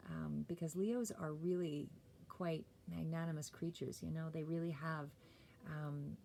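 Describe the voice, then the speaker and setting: A woman doing a casual, rambling tarot reading, a little hoarse and clearing her throat, with many fillers and restarts. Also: deep voice, fairly even intonation, measured pace, mellow, a little hiss or hum. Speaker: tarot reader.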